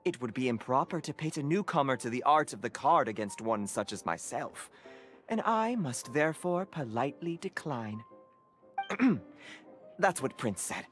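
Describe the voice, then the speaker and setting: A man's voice: medium pitch, very animated, moderate pace, a bit bright. A man playing a grandiose, formal and reverent disciple who translates for a cat in a video game. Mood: lofty. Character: pompous, devoted male card-game disciple translating for a cat. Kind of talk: video game cutscene acting. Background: game music.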